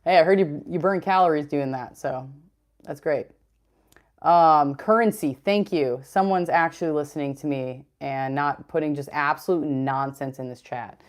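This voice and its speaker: Deep-voiced young woman, casual fitness streamer, loose and talkative. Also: moderate pace. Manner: chatty, rambling, reads chat comments aloud. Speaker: fitness livestream host. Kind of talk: casual livestream chat.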